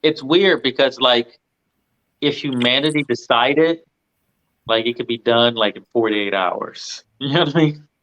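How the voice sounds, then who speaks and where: Guy riffing on movies, kinda muffled lo-fi mic, jokey and sweary, middling pitch. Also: a little slow, fairly bright tone, a little hiss or hum. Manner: irreverent, sweary. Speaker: film review panelist. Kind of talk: casual joking banter. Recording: slightly dull, lo-fi audio.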